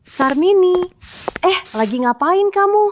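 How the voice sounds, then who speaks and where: Woman voicing a high boastful village gossip. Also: expressive, unhurried, noisy background. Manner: showy, teasing, giggly.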